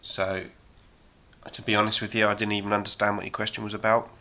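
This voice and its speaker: A man in his thirties hosting a miniature painting chat, talking casually with many ums and a slightly hoarse voice from a sore throat. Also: fairly low pitch, fast talker. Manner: relaxed, rambling, many ums. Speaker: hobby painting channel host.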